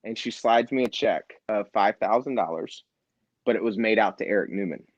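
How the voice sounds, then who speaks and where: A man with a low-pitched voice tells his story in an interview. He is earnest and animated and acts out the people he quotes. The sound is dry and slightly dull, with no room around it. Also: moderate pace, no room sound. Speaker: nonprofit founder and CEO.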